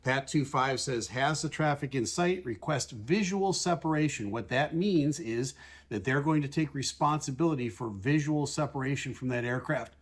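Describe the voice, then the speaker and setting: A man with a mid-pitched voice works as an aviation analyst, explaining things step by step. He is measured and plain-spoken, restating technical radio calls in simple everyday language. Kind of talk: explanatory commentary over air traffic recordings.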